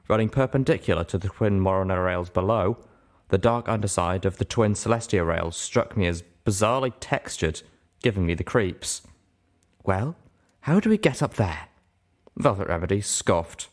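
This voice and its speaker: Casting: male audiobook narrator, low pitch, measured dramatic storytelling. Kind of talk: scripted first-person story narration.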